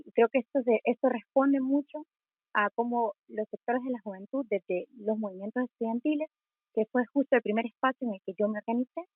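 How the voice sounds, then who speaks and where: Brief: Honduran woman, twenties, activist guest, higher voice, thin phone-line sound, dry. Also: no echo. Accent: Honduran Spanish. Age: 20s. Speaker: interview guest, student activist. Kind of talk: earnest interview answers. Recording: tinny phone-like call audio.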